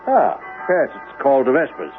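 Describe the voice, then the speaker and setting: Gentle elderly minister, very animated pitch. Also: male voice, faint music behind. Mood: courteous. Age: older. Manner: hesitant, courteous, halting speech. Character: elderly, gentle, wistful minister of a decaying church. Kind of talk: 1970s radio drama acting. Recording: muffled lo-fi radio recording with faint noise.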